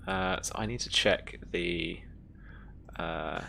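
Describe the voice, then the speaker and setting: A woman narrating a tabletop roleplaying game as game master, reading out rules and thinking aloud in a halting way. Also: extremely low pitch, very animated, creaky, bright, forceful tone, a little hiss or hum.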